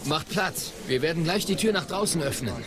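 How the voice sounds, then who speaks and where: Man playing an alert, lively Jedi master. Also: fairly high pitch, a little fast, crowd noise, lightly acted. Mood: businesslike. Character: alert male Jedi master, loyal battle companion. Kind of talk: audio drama acting.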